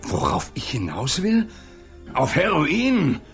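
An actor playing a middle-aged, anguished, protective father in a German radio crime drama, speaking haltingly and repeating his short pleas. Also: a little high, huge pitch swings, fairly bright tone, faint music behind, full character voice. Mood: stern, pressing. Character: anguished, protective father of a drug-addicted daughter. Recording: 1980s studio radio play.

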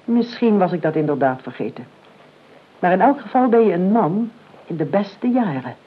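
Bossy flustered aunt in a radio play, husky voice that swoops around, very emphatic. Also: female voice, on the low side, big pitch swings, normal speed. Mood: condescending. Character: imperious, easily flustered aunt of a grown nephew. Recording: tinny, phone-like old radio recording.

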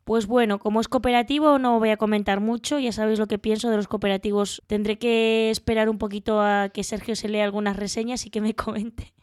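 A woman's voice: medium pitch, level tone, brisk, few pauses, a little mellow, no room sound, studio quality. A woman with a Spanish accent from Spain, co-hosting a board game podcast in a playful, teasing way. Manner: playful and teasing, laughs between lines. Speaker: podcast co-host. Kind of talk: casual board game news chat.

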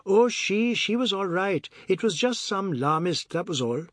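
Man acting a timid, evasive young man, raised pitch. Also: expressive, measured pace, a bit bright, no room sound, studio quality, exaggerated voice. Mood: nervous, evasive. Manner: hesitant, explanatory, awkward.

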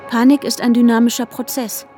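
Actress as anxious, high-voiced woman, often whispering. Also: flat delivery, a little fast, mellow, natural voice. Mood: bitter, fearful. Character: anxious, panic-stricken woman narrating her inner turmoil. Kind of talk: German radio drama, intimate first-person inner monologue. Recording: voice over prominent music.

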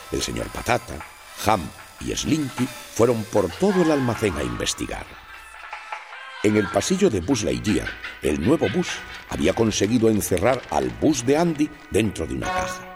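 Man, children's story narrator, very low voice, highly animated delivery, background music. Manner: expressive, storytelling emphasis. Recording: music playing under the voice.

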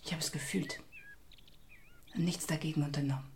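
A woman's voice: sits low, steady tone, fairly quick, slight background noise. A guilt-ridden grieving mother. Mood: grief-stricken. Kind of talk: radio play acting.

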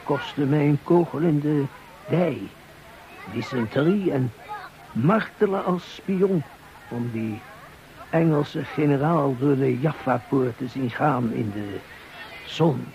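An actor playing a bitter war veteran in a radio drama, with deliberate, broken phrasing. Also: male voice, mid-range voice, gravelly. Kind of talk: poetic radio drama monologue. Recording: muffled radio recording, faint noise.

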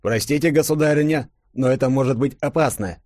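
A man's voice: medium pitch, fairly quick, a little mellow, no room sound, voice pushed up, natural voice. A loyal admiral, as a man voices him speaks with firm, respectful deference. The voice sits a little above the narrator's. The recording is dry.

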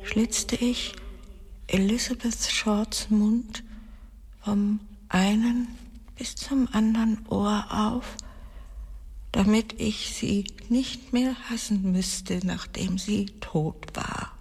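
An actress playing a cold, haunted murderess in a radio play, speaking haltingly and deliberately in a breathy, husky, creaky voice that often sinks near a whisper. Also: female voice, medium pitch, fairly even intonation, darkish tone, full character voice. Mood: cold, confessional. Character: cold, haunted murderess confessing her killing, named Ramona. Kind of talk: dramatic radio play monologue. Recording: long hall-like reverb.

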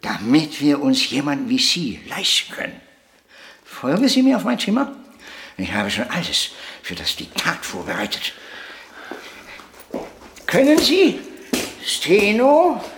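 An actor playing an eccentric, boastful, cantankerous old avant-garde puppeteer in a radio drama, lecturing grandly and theatrically. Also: male voice, on the high side, huge pitch swings, a little slow, long pauses, a bit bright, heavily acted. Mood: cantankerous, imperious. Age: elderly. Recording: echoey room.

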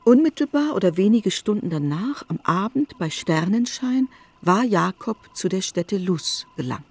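An actress as a grave storyteller, relating a biblical tale with deliberate literary delivery in a radio drama. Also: female voice, medium pitch, expressive. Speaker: narrator.

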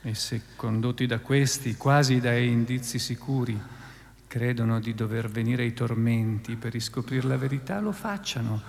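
Male narrator for radio theatre, scripted delivery, medium pitch, big reverberant space. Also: moderate pace, gravelly. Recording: long hall reverb, faint music.

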